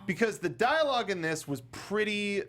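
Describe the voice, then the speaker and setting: Higher-voiced man hosting a casual panel show. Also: animated, normal speed, a bit bright, a little hiss or hum. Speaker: talk show host. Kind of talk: casual panel chat reviewing films.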